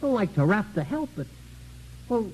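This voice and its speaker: A man's voice: high-pitched, big pitch swings, normal speed, a little mellow, slightly rough, full character voice, low-quality recording. An actor playing a talkative, down-on-his-luck American janitor and father in early 1950s radio comedy-drama, rambling, stammering and self-deprecating. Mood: folksy, chatty.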